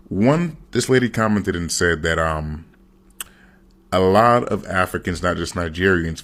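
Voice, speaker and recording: A man's voice: low voice, a bit bright. A man in a reaction video, talking bluntly with crude language. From United States. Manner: blunt, profane, conversational. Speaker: commentator. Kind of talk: direct-to-camera reaction video.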